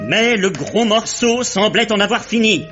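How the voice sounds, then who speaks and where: An actor narrating a 1950s French radio serial in a measured, mock-solemn way. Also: male voice, high-pitched, a bit bright. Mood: solemn, storytelling. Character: storyteller announcing chapters, wry and solemn. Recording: muffled old radio recording, music behind.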